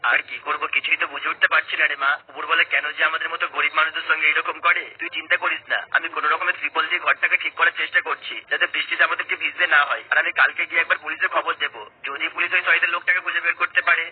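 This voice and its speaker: A man's voice: fairly high pitch, little pitch movement, racing, few pauses, slightly rough. A worried, poor village father for a cartoon story, voiced by a man. He sounds anxious but comforting. The recording is narrow and phone-like.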